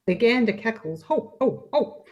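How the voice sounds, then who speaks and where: Woman reading homely old nurse in a classic play, plain-spoken, dark soft tone, a little far from mic. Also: fairly high pitch, lively intonation, a little slow, muffled recording. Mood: consoling, practical. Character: homely, pious old female nanny.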